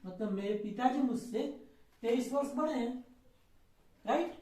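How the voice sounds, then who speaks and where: A man's voice: very high voice, unhurried, warm tone. Guy teaching maths, really echoey and far from the mic, repeats stuff, asks questions then answers them himself. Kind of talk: informal classroom lesson explained step by step. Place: echoey indoor room. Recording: very echoey room, distant mic.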